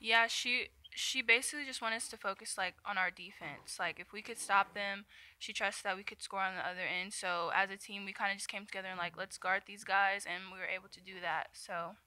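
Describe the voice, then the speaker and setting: A woman's voice: medium pitch, fairly even intonation, fairly quick. A young adult woman, a college basketball guard, answering casually at a postgame press conference into a table mic. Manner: soft-spoken, casual, frequent "um" and "like". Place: press room at table before backdrop. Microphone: table mic on stand.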